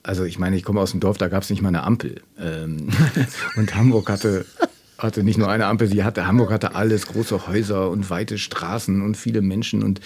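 A man's voice: low voice, fairly even intonation, moderate pace, a little mellow, slight background noise. A man from Germany's Münsterland, chatting and reminiscing as an interview guest in a casual, self-deprecating way. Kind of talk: casual storytelling.

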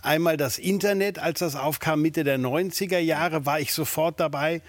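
A man in his fifties speaks a little high, and the sound is close and dry. He is a guest on a chat show and talks in a joking, measured way. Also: dry recording. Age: 50s. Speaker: guest actor. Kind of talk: casual interview chat.

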